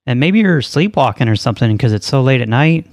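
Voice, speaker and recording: A man hosting a prank call show in a casual, deadpan and insistent way. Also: medium pitch, a little fast, no room sound, clean studio sound. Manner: casual, insistent, straight-faced.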